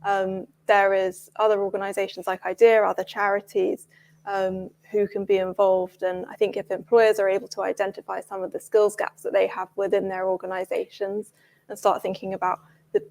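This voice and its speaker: A woman's voice: mid-range voice, flat delivery, normal speed, vocal fry, a little mellow. A woman speaking on a conference panel in a hesitant way with many fillers.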